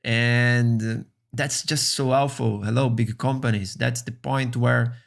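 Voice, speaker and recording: A man whose first language is Brazilian Portuguese, speaking English earnestly and haltingly as a streamer reacting to a video. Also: mid-range voice, steady tone, gravelly. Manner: earnest, halting, many restarts.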